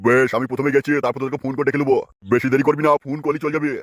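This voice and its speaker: Man acting as village boss, lecherous, gruff and impatient, fast-talking, dry sound, comic cartoon. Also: medium pitch, flat delivery, dry recording, natural voice. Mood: bossy. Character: lecherous, bossy male village chief.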